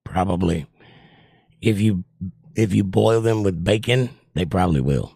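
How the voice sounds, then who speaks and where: A man's voice: low-pitched, measured pace, creaky, warm tone, slightly rough, no room sound, clean studio sound. Older man hosting a livestream chat, worn voice. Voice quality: voice worn from years of trouble. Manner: reads viewer comments aloud and answers them. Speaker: livestream host reading chat.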